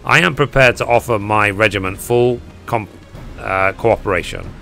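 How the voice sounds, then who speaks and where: A man voices a confident male officer from a fantasy game, brisk and commanding. The delivery is low-pitched and a little hoarse, with faint game music behind it. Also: bright, forceful tone.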